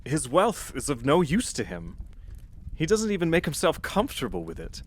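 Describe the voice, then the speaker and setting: A man's voice: fairly high pitch, sing-song, normal speed, a little hiss or hum. Man acting a jovial, forgiving young nephew. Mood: good-humored. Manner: jovial, earnest. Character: cheerful, forgiving nephew, warm-hearted and merry. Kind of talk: audio drama acting.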